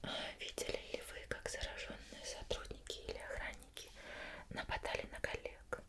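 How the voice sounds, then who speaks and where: Whispered female voice, ASMR roleplay, woman acting a suspicious investigator, patient, slow probing questions, pauses. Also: natural voice. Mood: calm probing.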